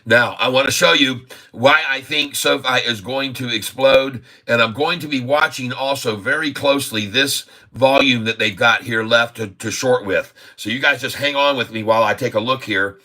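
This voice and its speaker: 63 yr old guy doing a stock stream, bright voice, goofy upbeat chat. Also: medium pitch, moderate pace. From Haiti. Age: 60s. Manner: jokey, upbeat, chuckling often. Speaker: stock livestream host. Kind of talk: casual live stock chat with singing.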